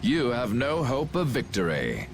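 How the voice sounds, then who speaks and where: A man's voice: medium pitch, wildly swooping pitch, unhurried, music in the background. A man voicing a grandiose, cold villain who would rule the world, speaking formally and theatrically in a video game cutscene. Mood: cold, imperious.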